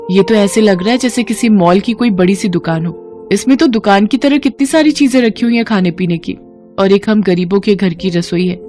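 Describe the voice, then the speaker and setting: A humble, wide-eyed student girl from a poor home, played by a woman narrator. Her voice is pitched up, polite and earnest, in a cartoon moral tale with music underneath. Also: darkish tone, natural voice.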